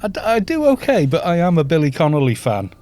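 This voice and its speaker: A man's voice: fairly high pitch, wildly swooping pitch, fast, darkish tone. Chatty North Welsh man streaming. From North Wales. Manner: chatty, rambling, chuckles often, reads chat aloud. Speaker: streamer leading a game group. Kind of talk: casual live-stream chat while gaming. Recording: faint background music.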